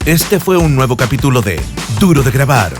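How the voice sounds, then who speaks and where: A man's voice: medium pitch, sing-song, moderate pace. A man reading a scripted podcast sign-off as announcer. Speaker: show announcer. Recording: voice over loud music bed.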